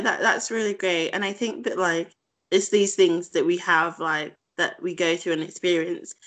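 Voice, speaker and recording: A woman's voice: on the low side, fairly bright tone. A woman interviewer asking curious, thoughtful questions in a casual conversation.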